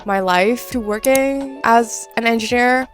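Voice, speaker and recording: A woman's voice: fairly high pitch, a little slow, faint music behind. A woman in her twenties from Indonesia, telling a personal story to camera in a chatty, earnest way with lots of filler words. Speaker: vlogger.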